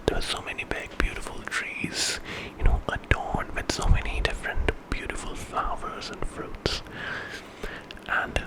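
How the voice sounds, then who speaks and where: Guy whispering an asmr bedtime story, kinda off the cuff, all breathy whisper. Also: high-pitched, rough recording.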